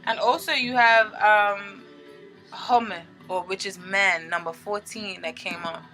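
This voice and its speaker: A woman's voice: mid-range voice, fairly even intonation, slow pace, sharp tone, faint music behind. A woman hosting a tarot reading in a casual, chatty way, informal and playful with rambling asides and mild swearing.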